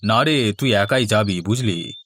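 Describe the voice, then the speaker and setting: A man's voice: lowish voice, unhurried, fairly bright tone, a little hiss or hum. A man playing a lazy, scheming village elder brother in a cartoon fairy tale, giving orders in a bossy way. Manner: bossy, commanding.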